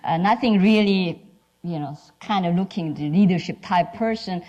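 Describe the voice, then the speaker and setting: Korean lady scientist giving an informal talk, lowish voice, strong accent, halting english. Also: darkish tone, muffled recording. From South Korea. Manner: halting, non-fluent English with dropped articles. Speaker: scientist speaking at a symposium.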